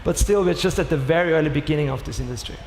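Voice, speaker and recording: Austrian-accented panelist, higher male voice, echoey hall, lively anecdotes. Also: very fast. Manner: lively storytelling, uses sports comparisons. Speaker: startup co-founder on a panel. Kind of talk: conference panel discussion. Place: large conference hall. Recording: echoey hall with faint noise.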